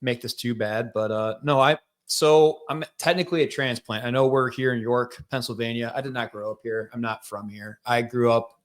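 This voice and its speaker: A man with a Michigan accent, a guest telling his life story in a relaxed, rambling way with lots of fillers. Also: medium pitch, normal speed. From central Michigan. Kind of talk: casual interview storytelling.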